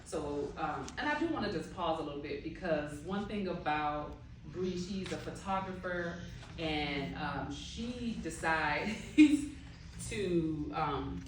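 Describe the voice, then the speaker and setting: A woman's voice: low voice, expressive, slow, slight background noise. A woman author speaking conversationally to an audience about her novel, with fillers and laughter. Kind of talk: book talk with audience. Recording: echoey room, distant mic.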